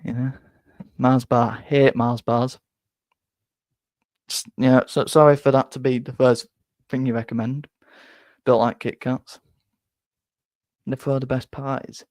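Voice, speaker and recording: A young man with a Yorkshire accent, slightly nasal and flat, chatting casually on a live stream, rambling and stumbling over repeated words. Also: mid-range voice, measured pace, long pauses, no room sound. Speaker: football fan streamer reading chat.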